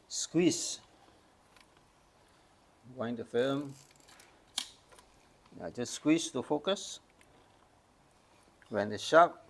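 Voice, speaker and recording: Older man from Malaysia, gravelly, methodical show-and-tell explainer. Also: mid-range voice, a little hiss or hum. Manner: patient, explains step by step with hands-on demos. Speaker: camera collector. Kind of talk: casual show-and-tell demonstration.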